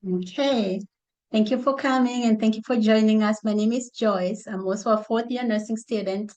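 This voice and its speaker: A woman's voice: on the high side, moderate pace, a little mellow, highs cut off. A woman with a Kenyan accent speaking as a student presenter in an informal online meeting.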